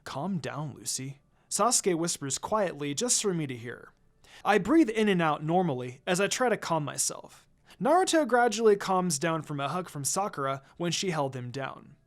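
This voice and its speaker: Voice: mid-range voice, big pitch swings, normal speed. A narrator reading a scripted first-person story aloud, acting out bits of dialogue along the way. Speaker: fan fiction narrator.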